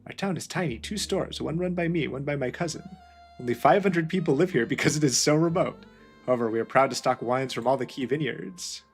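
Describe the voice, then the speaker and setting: A man's voice: medium pitch, a little fast, soft background music, close to an everyday voice. A man voicing a friendly male Chilean wine shopkeeper, chatty and polite.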